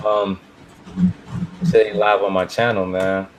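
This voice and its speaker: A man's voice: lowish voice, level tone, slow, background noise. A man chatting casually and slangily as a podcast host setting up a livestream. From born in Barbados, moved to the United States. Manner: slangy, informal.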